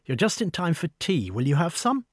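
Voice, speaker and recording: A man voicing a tall, proud older woman with an upper-class English accent, brisk and wry in an audiobook. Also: a little high, sing-song, breathy edge, no echo, studio quality, pitched above the performer's own voice. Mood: brisk teasing. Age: over forty. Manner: brisk, commanding, wry and talkative. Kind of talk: audiobook dialogue.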